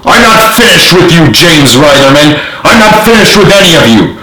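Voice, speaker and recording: An enraged army general, acted out by a man reading a storybook fumes and shouts in a raised, strained-high pitch. He is a ruthless, defeated villain. The room rings around the voice. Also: big pitch swings, moderate pace, reverberant, rough recording. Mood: furious. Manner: fuming, shouting.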